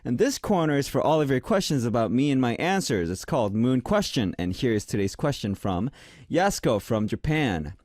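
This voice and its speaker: A young man from Korea, speaking English as a second language with a deep voice, hosting a casual radio show and chatting about listener messages. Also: normal speed, no echo, clean studio sound. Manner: relaxed, chatty, reads listener messages aloud.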